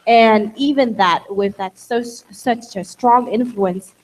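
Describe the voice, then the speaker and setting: A woman's voice: fairly high pitch, slow, slight background noise, low-quality recording. A young woman moderating a conference talk with a handheld mic, friendly, and often summing up what her guest says. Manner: friendly, rephrasing and summarizing. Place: dark conference hall stage.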